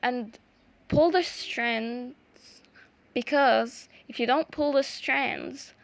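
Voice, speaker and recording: A young woman whose first language is Arabic, talking through a craft tutorial in a hesitant, casual way with many pauses and restarts. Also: high voice, gravelly, no echo. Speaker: art vlogger.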